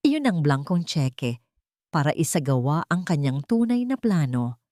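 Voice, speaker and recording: A woman's voice: sits low, big pitch swings, measured pace, darkish tone, no room sound, studio quality. A woman narrator reading a scripted dramatic story.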